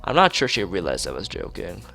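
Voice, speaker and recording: A man streaming a visual novel, reading its script aloud haltingly, stumbling and repeating phrases, with casual asides. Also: low voice, wildly swooping pitch, vocal fry, bright, forceful tone, faint music behind.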